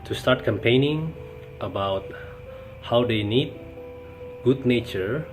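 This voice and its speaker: A man from Bandung, speaking haltingly and searching for words in English. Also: mid-range voice, lively intonation, soft background music, poor audio. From Indonesia, Bandung. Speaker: interviewee.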